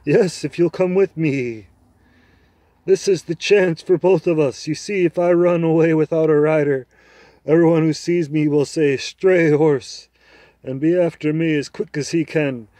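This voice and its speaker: A man voicing a proud, scornful male talking horse in a storybook reading, loud and lecturing. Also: fairly high pitch, fairly even intonation, a little slow, dry recording, pitched above the performer's own voice, natural voice. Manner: haughty, lecturing, loud and emphatic.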